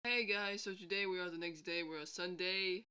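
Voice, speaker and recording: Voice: mid-range voice, steady tone, normal speed, bright, forceful tone, smooth. A vlogger sick with a bad cold, chatting casually at home in a rambling way and laughing often. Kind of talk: casual late-night vlog chat. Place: indoors at home.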